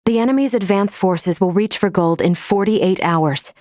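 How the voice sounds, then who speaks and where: A woman playing a logical, composed female android officer in a video game, speaking formally and precisely in a measured, unemotional way. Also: medium pitch, darkish tone, no room sound, studio quality, natural voice. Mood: calm, analytical.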